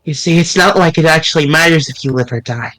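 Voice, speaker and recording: A man's voice: fairly high pitch, quick pace, sharp tone, no room sound. A man voicing a cold, two-faced manager villain with a sneering, contemptuous manner, reading a visual novel aloud.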